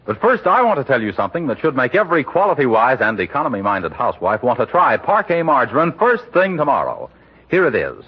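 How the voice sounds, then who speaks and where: Male radio announcer, medium pitch, lively intonation, emphatic scripted selling, 1940s style, dull vintage recording. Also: fast talker, slightly rough. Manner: polished, emphatic sales delivery.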